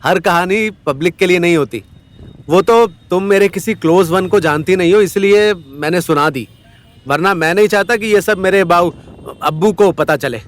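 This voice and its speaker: A man playing a relaxed, self-conscious young man in an audio story, speaking casual Hindi mixed with English. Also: sits high, moderate pace, close to an everyday voice. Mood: modest, confiding.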